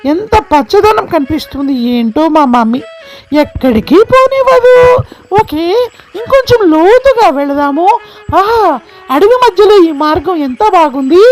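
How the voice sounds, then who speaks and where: A man's voice: extremely high pitch, exaggerated voice. A man voicing a cheeky, headstrong young female fawn in a storybook tale, whiny and sing-song.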